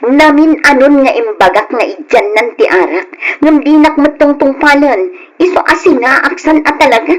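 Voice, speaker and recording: A woman's voice: high-pitched, normal speed, lightly acted, poor audio. An actress with an Ilocano accent playing a nagging, aggrieved young wife and mother in an old radio drama.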